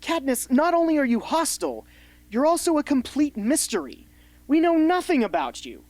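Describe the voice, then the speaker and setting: A woman's voice: sits high, big pitch swings, moderate pace, a little mellow, no echo. A woman playing a blunt, sarcastic, exasperated mentor in a radio drama, scolding as she talks. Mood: frustrated, scolding.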